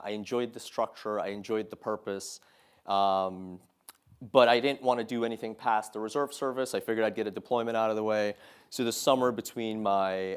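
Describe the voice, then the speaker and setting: A man in his thirties speaks to a live audience in a lecture room in a slightly low voice. He is earnest and measured, and he fills his pauses with frequent "uh" and "um". It sounds like a guest talk, not a script. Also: smooth. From Saudi Arabia. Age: 30s. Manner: earnest, measured, many "uh" and "um" fillers. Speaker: guest speaker, Marine officer.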